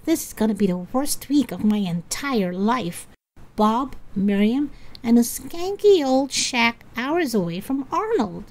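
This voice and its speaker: A woman's voice: on the high side, big pitch swings, unhurried, a little mellow, pitched above the performer's own voice, rough recording. A woman voicing a bossy, dramatic young girl, brash and scornful, in a storybook reading. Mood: disgusted.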